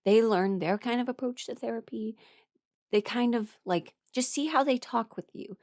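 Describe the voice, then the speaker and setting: A woman talking casually and confidingly, giving personal advice in an informal, halting way and often correcting herself. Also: on the high side, a little mellow, dry recording, clean studio sound. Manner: informal, halting and self-correcting, chuckles while talking, mimics others in dialogue. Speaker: host, voice performer giving a personal ramble.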